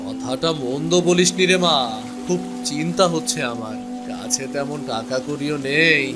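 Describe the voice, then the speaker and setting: A man's voice: a little high, moderate pace, loud music behind the voice, lightly acted. A man voicing a poor, humble village father who sounds anxious and plaintive in a cartoon story. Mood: worried. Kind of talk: Bengali cartoon story voice acting.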